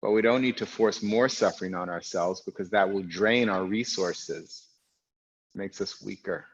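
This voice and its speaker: A man guiding an online yoga class, with a loud, strong voice, calm and reflective, speaking in unhurried phrases with pauses. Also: low voice, muffled recording. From United States. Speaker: yoga teacher. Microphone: recorded for an online class video.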